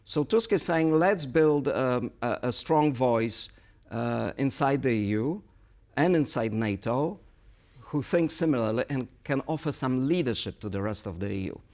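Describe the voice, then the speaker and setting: A man offers political commentary as an interview guest. His voice is mid-pitched with a creaky, gravelly edge. He explains his points in a measured, analytical manner. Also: expressive, a little mellow. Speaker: guest political analyst on a current affairs show.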